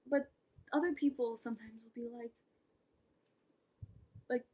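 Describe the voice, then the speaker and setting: A young woman with a slightly high, breathy voice chats casually to her camera. She rambles and mocks herself, often dropping into whispered asides between laughs. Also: fairly even intonation, unhurried, dark tone. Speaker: vlogger. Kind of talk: casual vlog monologue.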